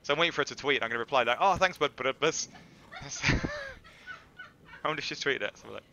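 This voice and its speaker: A man's voice: mid-range voice, animated, quick pace, creaky, sharp tone, highs cut off, a little hiss or hum. A man in his thirties with an English accent, a streamer telling self-mocking stories in casual chat through a headset mic in a small room. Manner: chatty, self-mocking storytelling with swearing.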